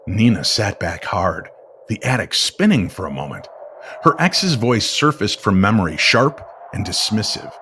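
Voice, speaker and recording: A man narrating a scripted mystery story in a nostalgic old-time radio style, with measured, theatrical phrasing. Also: fairly low pitch, sing-song, pushed and bright, slight background noise. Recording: music playing behind the voice. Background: music.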